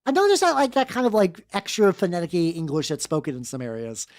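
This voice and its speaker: Lively, swooping woman streamer. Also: on the low side, fast, no echo, studio quality. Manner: sweary, slangy, wry asides. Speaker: streamer, vtuber host. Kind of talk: casual live-stream chat and comedic fanfiction reading. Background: background music.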